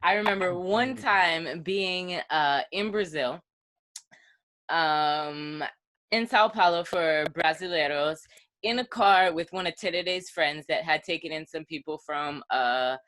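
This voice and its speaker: A woman's voice: lowish voice, unhurried, a bit bright, no echo. Chatty, laughing woman on a dry mic. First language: not Portuguese; Portuguese is her third language. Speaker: podcast co-host. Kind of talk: casual podcast storytelling.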